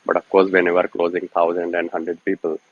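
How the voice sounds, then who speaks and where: Deep raspy guy, kinda muffled lo-fi audio, lots of uhs. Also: monotone, brisk. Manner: hesitant, many fillers. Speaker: participant in online discussion. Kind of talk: casual group chat.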